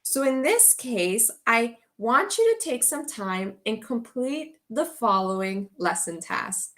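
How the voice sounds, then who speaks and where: A woman teacher from Guatemala, giving a slow, clear orientation to an online English as a course. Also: on the high side, sing-song, a bit bright. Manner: slow, deliberate delivery for language learners.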